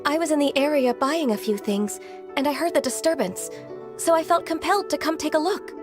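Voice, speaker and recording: An actress voicing a gentle, shy young female secretary who speaks softly and politely, with hesitant fillers. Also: high voice, brisk, music in the background, natural voice. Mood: timid.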